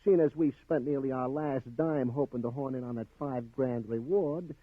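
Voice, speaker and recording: An actor playing a blunt, slangy, streetwise sidekick in a 1950s radio drama. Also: male voice, mid-range voice, normal speed, no echo, natural voice. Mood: impatient. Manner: slangy, blunt tough-guy delivery. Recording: narrow-band 1950s radio recording.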